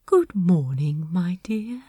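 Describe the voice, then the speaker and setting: A woman voicing a prim, sweet, bookish gentleman who dotes on his partner, fussy and polite, in an audio fiction drama. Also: lowish voice, lively intonation, slow, slightly rough, dry recording, exaggerated voice. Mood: tender. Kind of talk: amateur audio fiction acting.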